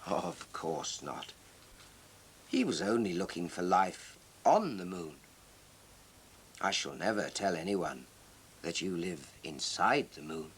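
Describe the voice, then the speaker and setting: A man's voice: low voice, big pitch swings, slow pace, long pauses, voice pushed down, heavily acted. A man voicing a jolly, kindly old man, hearty and reassuring, in a children's storybook reading. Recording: hissy 1970s TV soundtrack.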